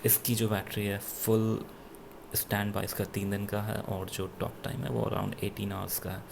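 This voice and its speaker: Low, gravelly Indian man reviewing gadgets. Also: level tone, noisy background. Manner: halting, self-correcting. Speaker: product reviewer. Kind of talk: unscripted gadget review, mixing Hindi with English.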